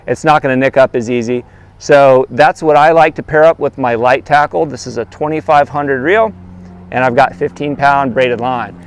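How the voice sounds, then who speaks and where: A man in his thirties speaks outdoors on a lapel mic, so the sound is dry and close with a smooth, clear tone. His pitch sits a little high. He walks through his tackle in a practical, easygoing way, as a guide would. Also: no echo. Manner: relaxed, practical, talking straight to camera. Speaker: fishing guide. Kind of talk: outdoor gear demonstration. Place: outdoors by a lakeshore.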